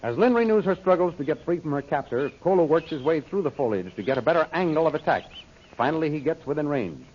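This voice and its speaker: A man's voice: a little high, animated, fast, husky. A man narrating an old-time radio adventure serial in a dramatic, scripted style. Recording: dull, faintly noisy old radio recording.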